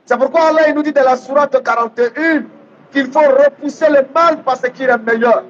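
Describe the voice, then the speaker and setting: Guy preaching islam, really high voice, teaching hard and repeating lines, muffled lo-fi audio. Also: fairly quick. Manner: emphatic, didactic, repeats readings phrase by phrase. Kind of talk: public religious debate preaching. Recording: dull, lo-fi sound.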